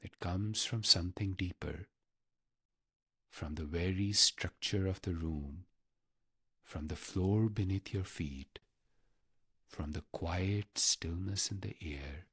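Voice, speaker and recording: Slow deep guy doing guided meditation, reverent, short phrases w long pauses, super close dry sound. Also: sharp tone, husky, dry recording.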